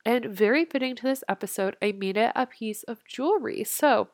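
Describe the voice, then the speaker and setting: A woman's voice: a little high, moderate pace, dry recording, studio quality. A young woman in her twenties hosting her podcast, sounding nasal and stuffy from a cold, chatting in a bubbly, casual way and swearing freely. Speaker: podcast host and manifestation coach. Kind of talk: casual solo podcast chat.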